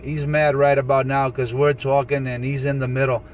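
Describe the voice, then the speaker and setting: A man's voice: mid-range voice, flat delivery, moderate pace, a little hiss or hum. A man chatting casually as a CB radio operator, repeating his phrases and calling people man and brother.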